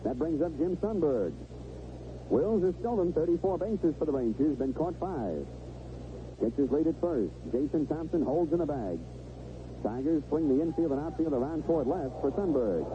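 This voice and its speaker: Male 1970s radio baseball play-by-play man, higher-pitched, clipped phrasing, dull noisy AM sound. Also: expressive, normal speed. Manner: clipped phrases, describing each play as it happens. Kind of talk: live radio sports commentary. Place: stadium radio booth.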